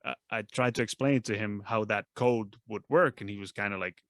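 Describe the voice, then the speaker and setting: Gravelly man, relaxed. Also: fairly low pitch, a little fast, no echo, clean studio sound. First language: Dutch. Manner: relaxed storytelling with laughs. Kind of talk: casual chat.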